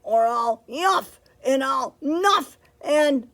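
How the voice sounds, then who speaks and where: A woman's voice: high voice, expressive, drawn-out words, a bit bright, pitched above the performer's own voice, exaggerated voice. Woman putting on a blustering big bad wolf.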